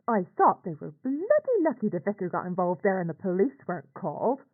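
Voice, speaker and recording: An actress voices a middle-aged Northern English aunt, anxious and ashamed, telling her story for a horror audio drama. The sound is thin and phone-like, as if from an old log. Her mid-pitched voice moves expressively through plain, colloquial phrasing with halting pauses. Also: sing-song. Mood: exasperated, ashamed. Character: working-class English aunt, a nurse, anxious, ashamed, recounting events. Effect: radio or telephone-like filter. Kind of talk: scripted confessional monologue for horror audio log. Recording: tinny, band-limited audio log recording.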